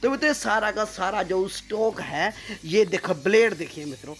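A man's voice: sits high, moderate pace, fairly bright tone. A man gives a walking tour of his workshop and storeroom, talking to viewers as friends in a salesman's patter, recorded on a handheld phone. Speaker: sword shop owner giving a factory tour. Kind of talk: walking tour vlog, promotional.

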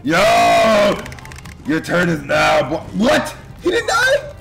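A young man with a raspy, strained, partly lost voice giving excitable, slangy gameplay commentary, swinging from muttering to yelling. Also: sits high, slow. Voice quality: hoarse, raspy. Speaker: gaming video host. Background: music behind the voice.

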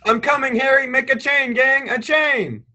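A man's voice: very high voice, steady tone, moderate pace, bright, forceful tone, exaggerated voice. A teenage boy playing a cocky, eager twelve-year-old with big dreams in a staged radio play. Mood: excited.

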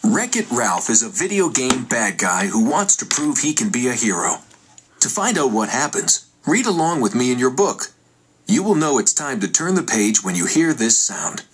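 A man's voice: medium pitch, big pitch swings, moderate pace, noisy background. A man reading scripted narration for a children's read-along storybook. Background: music.

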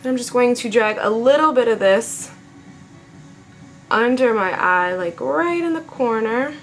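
A young woman in her twenties with a slightly raspy voice, chatting playfully through a makeup tutorial at her vanity. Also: on the high side, animated, measured pace. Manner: chatty, playful, talks while applying makeup. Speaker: beauty vlogger. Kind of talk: casual makeup tutorial chat. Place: home makeup room with vanity. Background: faint background music.

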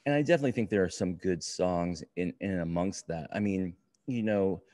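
A man in his forties co-hosting a casual review podcast, talking in a measured, agreeable, meandering way. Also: low-pitched, mellow, dry recording, studio quality. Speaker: podcast co-host. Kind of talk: casual book review chat.

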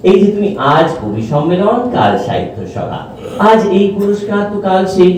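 A man's voice: high voice, sing-song, moderate pace, darkish tone, a little hiss or hum. A man playing a charming, teasing singer in a romantic audio drama, with theatrical, gushing delivery. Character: charming male singer, teasing admirer of a poetess. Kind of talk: stage audio drama acting, romantic banter. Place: multipurpose hall, live performance. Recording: very echoey hall, distant microphone.

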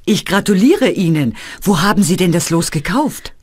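A woman playing a helpful, low-voiced adviser. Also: expressive, normal speed, darkish tone, slightly rough, no echo. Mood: friendly. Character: helpful woman giving advice. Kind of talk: scripted language-course dialogue.

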